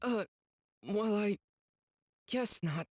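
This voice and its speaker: A man's voice: sits high, very slow, a little mellow, echoey room, big-room sound. A man voicing an earnest, kind-hearted teenage boy swordsman with emotional delivery in an anime game. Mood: gentle, puzzled.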